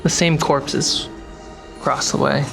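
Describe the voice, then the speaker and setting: A game master narrating a tabletop fantasy game in a measured, dramatic way, pausing between phrases. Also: medium pitch, level tone, vocal fry, music in the background.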